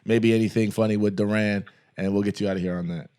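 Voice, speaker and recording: Male podcast host, fairly low voice, easygoing advice-giving chat. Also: steady tone, fast, mellow, smooth. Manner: advice-giving, talks through contract examples at length. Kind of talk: casual interview chat.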